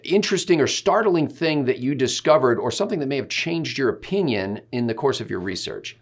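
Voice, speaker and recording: A man interviewing a guest, putting questions in a careful, halting, conversational way. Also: medium pitch, expressive.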